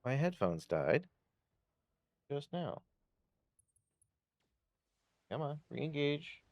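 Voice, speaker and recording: A man's voice: on the high side, very animated, extremely slow, a little mellow, dry recording. A man giving casual video game commentary as a streamer, thinking aloud through tactics in short bursts. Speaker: gameplay streamer.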